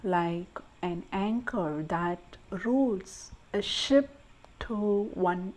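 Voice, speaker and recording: A woman leading a guided meditation in a gentle, soothing manner, speaking in short measured phrases with pauses between them. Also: mid-range voice, poor audio.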